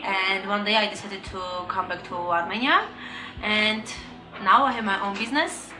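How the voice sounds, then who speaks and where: A woman's voice: mid-range voice, moderate pace. Hesitant woman, echoey room with music. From Poland. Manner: hesitant, many fillers. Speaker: cafe and shop owner. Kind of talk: casual interview answer.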